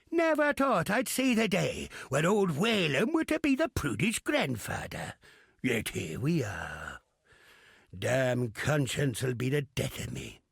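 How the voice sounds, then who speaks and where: A crusty old captain for a video game, roguish and grumbling. The voice is heavily gravelled and very hoarse. It swings widely in pitch through theatrical, salty sailor talk. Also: male voice, fairly bright tone, no echo, studio quality, exaggerated voice. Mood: indignant, grumbling. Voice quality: gravelly, raspy. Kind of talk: video game character acting.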